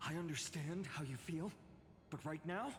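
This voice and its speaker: Man playing high-voiced, urgent young fantasy adventurer. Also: normal speed, in a large hall, a little hiss or hum, lightly acted. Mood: grave, urgent. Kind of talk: video game voice acting.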